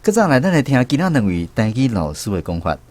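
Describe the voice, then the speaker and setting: A man with a Taiwanese accent hosting a radio language lesson in a measured, explanatory way. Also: medium pitch, big pitch swings, darkish tone. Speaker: radio show host.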